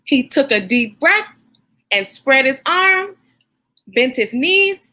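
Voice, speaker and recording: Female children's read-aloud host: high, bright voice, expressive teacherly delivery, encouraging toward young listeners. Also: slow pace.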